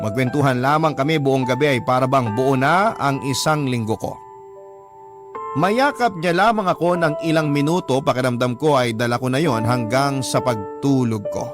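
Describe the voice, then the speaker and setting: A man narrating a first-person story as a radio storyteller reading a letter, in a dramatic scripted style. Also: mid-range voice. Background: music bed.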